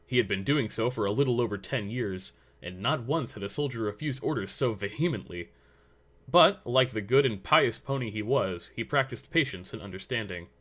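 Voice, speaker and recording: A man's voice: medium pitch, normal speed, fairly bright tone. A man narrating a scripted story as its reader.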